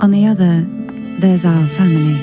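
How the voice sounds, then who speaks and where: A woman's voice: on the low side, steady tone, moderate pace, over music, lightly acted. A woman voicing an elegant, enigmatic noblewoman in a video game, speaking in a formal, poised and languid manner. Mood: grave, ominous. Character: elegant, enigmatic noblewoman, head of a powerful family. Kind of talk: video game story dialogue.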